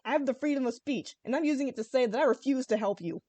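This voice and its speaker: A woman's voice: high-pitched, quick pace, mellow, no echo, voice pushed up. A woman voicing a brash, sarcastic young male dragon hero in a fan fiction reading. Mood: defiant. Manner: sarcastic, exclamatory.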